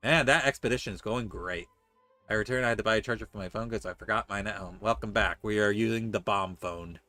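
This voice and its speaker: A man streaming a game, giving casual commentary with joking, deadpan asides. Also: fairly low pitch, fast, faint music behind.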